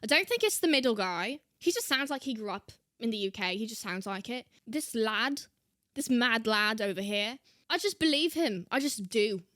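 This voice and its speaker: A woman's voice: on the high side, animated, moderate pace, bright, forceful tone, dry recording, clean studio sound. A woman doing an exaggerated put-on British accent, giving theatrical, playful reaction commentary and repeating phrases for emphasis. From United States. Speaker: host of a reaction show.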